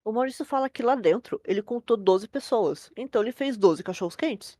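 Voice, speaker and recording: A woman's voice: a little high, expressive, fairly quick, warm tone, no room sound. A woman with a Brazilian accent narrating a horror story in an informal storytelling style.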